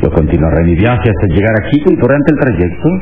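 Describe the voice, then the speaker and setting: An actor playing a suave, unflappable adventure hero in a radio serial, measured and theatrical, with thoughtful murmurs. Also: male voice, on the low side, very animated. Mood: cool, resolute. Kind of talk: Spanish-language radio serial acting. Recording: tinny, noisy old radio serial recording.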